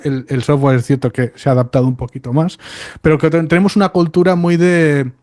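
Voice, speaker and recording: A man's voice: fairly high pitch, a little mellow. A man leading a casual podcast discussion, thinking aloud and putting questions to the other guests. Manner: reflective, asks the others questions.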